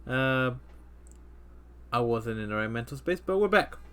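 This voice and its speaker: A man's voice: medium pitch, animated, a little slow, fairly bright tone, smooth. A man in his thirties hosting a casual podcast chat, rambling with lots of fillers and restarts. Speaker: podcast host.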